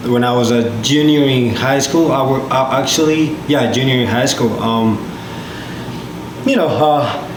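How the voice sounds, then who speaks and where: A man's voice: mid-range voice, measured pace. A man in his twenties from Peru, a podcast guest telling his story casually with many fillers and restarts. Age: 20s. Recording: echoey room with loud background noise.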